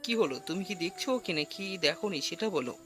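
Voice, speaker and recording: A man's voice: on the high side, expressive, fast, a bit bright, soft background music. A man playing a worried young friend, anxious and urgent, in an audio drama.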